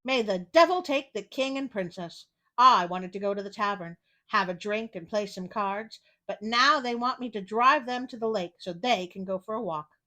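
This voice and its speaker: A woman's voice: mid-range voice, moderate pace, pushed and bright, lightly acted. A woman voicing a grumbling, cursing male royal coachman in a storybook reading, complaining as he goes. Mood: disgruntled.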